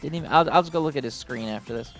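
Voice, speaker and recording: A man's voice: medium pitch, very fast, fairly bright tone, faint music behind. A man giving casual, unscripted gameplay commentary in a rambling, informal, self-deprecating way. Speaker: gaming video host.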